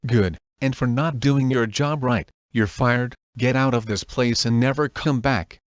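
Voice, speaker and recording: A man's voice: medium pitch, steady tone, a little slow, no echo, dull audio, natural voice. A synthetic male text-to-speech voice playing a stern boss who reads emergency alerts in a comedy skit. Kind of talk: formal scripted emergency announcement.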